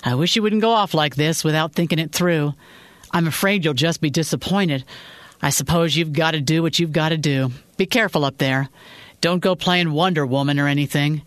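A narrator voicing a worried, frustrated husband who talks bluntly. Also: male voice, on the high side, normal speed, a bit bright, dry recording, lightly acted. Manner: anxious, then stern and blunt.

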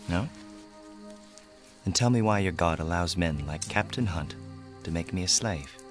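Solemn, low-voiced Native man. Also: animated, faint music behind. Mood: bitter, grieving. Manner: solemn, deliberate. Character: wounded, bitter, dignified Native man, formerly enslaved. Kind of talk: radio drama acting.

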